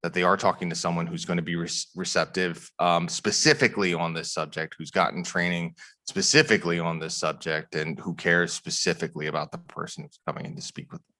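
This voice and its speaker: A man from a prosecutor's office answering questions at a community forum in an earnest, measured way, with many fillers and restarts. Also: low-pitched, fairly even intonation, bright, forceful tone. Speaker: district attorney's office official.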